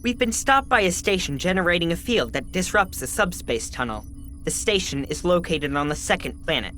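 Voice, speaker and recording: A brisk science advisor in a sci-fi game. The voice is lively and a little low, with faint music behind. The manner is eager, explanatory and matter-of-fact. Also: female voice. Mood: eager, explaining. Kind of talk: video game cutscene acting.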